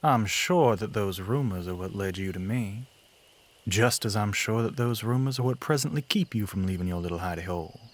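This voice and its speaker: The genteel vampire a man acts out on an old swamp-house porch in a late-night audio roleplay, low, breathy Southern drawl drifting softly and menacingly over the crickets. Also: a little hiss or hum, close to an everyday voice. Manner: soft, breathy, often near whisper, unhurried, genteel. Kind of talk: intimate ASMR audio roleplay.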